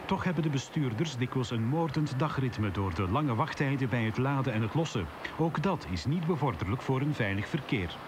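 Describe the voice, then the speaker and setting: A man with a Flemish accent reads a scripted news report at a measured pace. His voice sits in an ordinary middle pitch, with faint street sound behind him. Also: animated, background noise.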